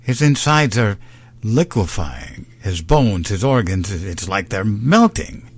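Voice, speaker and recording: An actor playing a cautious, concerned starship medical officer in a science fiction audio drama. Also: male voice, lowish voice, sing-song, slow pace, breathy edge, full character voice. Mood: grim.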